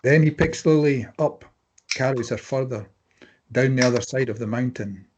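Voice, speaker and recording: A man's voice: mid-range voice, normal speed, noisy background. A man reading stage directions as narrator, in scripted dramatic present-tense narration.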